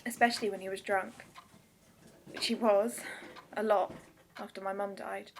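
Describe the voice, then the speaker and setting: A woman's voice: medium pitch, steady tone, normal speed, slight background noise, lightly acted. An actress playing a teasing, flirtatious woman who is insecure and wounded underneath, in a radio drama. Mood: subdued, confiding. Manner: teasing, confessional.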